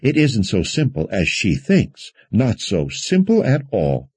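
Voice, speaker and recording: Man acting anxious woman healer, raised high pitch, protective, scolding, tearful edge, storybook fantasy. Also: animated, slow, mellow, breathy edge, no room sound, exaggerated voice. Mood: anxious, reassuring. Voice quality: shrill. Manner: prattling, rambling, anxious.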